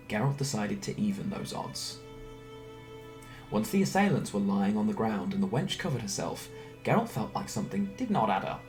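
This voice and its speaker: A man reading a scripted story aloud in a theatrical, expressive way. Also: lowish voice. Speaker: storyteller reading fan narration. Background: background music.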